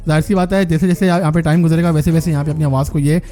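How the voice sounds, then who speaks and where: Fast-talking casual male tech reviewer. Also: fairly high pitch, steady tone, mellow, faint music behind. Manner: chatty, addresses viewers as brother. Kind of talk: casual screen-recorded software review.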